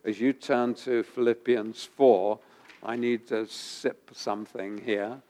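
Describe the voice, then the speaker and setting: A man preaching a sermon while he has a head cold, his voice gravelly, pausing often with ums and uhs and repeating phrases for emphasis. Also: mid-range voice, fairly even intonation, dark tone. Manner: halting, many ums and uhs. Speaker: pastor.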